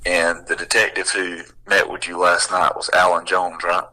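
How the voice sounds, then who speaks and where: A man's voice: sits low, monotone, normal speed, pushed and bright. A man chatting casually on a recorded phone call. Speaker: police official.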